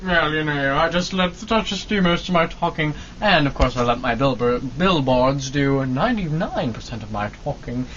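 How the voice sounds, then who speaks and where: A man's voice: a little high, expressive, normal speed, few pauses, fairly bright tone. A man playing a smug, folksy self-made billboard baron in an amateur old-time radio comedy. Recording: dull, distant, noisy amateur recording.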